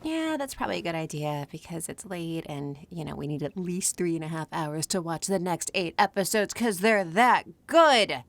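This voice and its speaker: A woman's voice: on the low side, very animated, moderate pace, hardly any pauses, no echo. A woman playing an eager binge-watcher who sweetly pushes to keep watching a show.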